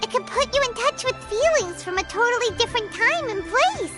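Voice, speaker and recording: A woman voicing a small, eager, bubbly childlike fairy companion in a video game, squeaky and chatty. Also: animated, fairly quick. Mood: thoughtful. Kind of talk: video game character acting. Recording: loud music behind the voice. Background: loud tinkling game music.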